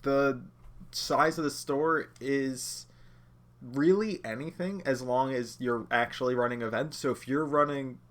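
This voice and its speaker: A man with a slightly nasal voice from being under the weather, teaching a livestreamed lesson in a relaxed, chatty way, talking straight to his viewers. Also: mid-range voice, unhurried. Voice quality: a bit nasal. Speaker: judge training instructor.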